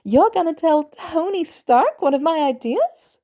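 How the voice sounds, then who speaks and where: Storybook role: eager teen boy, played by a woman, high and lively, wide-eyed, slightly shy. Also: measured pace. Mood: curious, eager. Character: excitable, eager teenage boy, bright kid.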